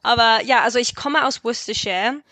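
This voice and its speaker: A woman's voice: fairly high pitch, brisk, bright, forceful tone, no echo. A woman from England with an English accent, a guest on an interview telling anecdotes in learned German, simple phrasing and frequent laughs. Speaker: guest, language teacher. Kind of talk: casual interview chat.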